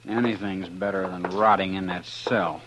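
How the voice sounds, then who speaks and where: Actor as a low-voiced, bitter young outlaw. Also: male voice, steady tone, normal speed, natural voice. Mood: defiant. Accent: American. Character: bitter, defiant young outlaw held prisoner. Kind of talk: 1950s radio western acting. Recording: noisy vintage radio recording.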